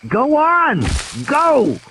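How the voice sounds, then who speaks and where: Man acting a frightened young boy shouting, high-pitched. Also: huge pitch swings, drawn-out words, gravelly, a little hiss or hum, exaggerated voice. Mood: defiant, scared. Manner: urgent shouting. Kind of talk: audio drama acting.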